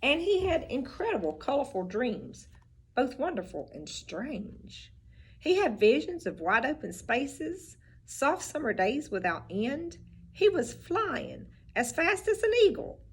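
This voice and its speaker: A teacher reading a picture book aloud, with expressive storybook delivery and little asides about the pictures. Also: female voice, high-pitched, measured pace, slightly rough.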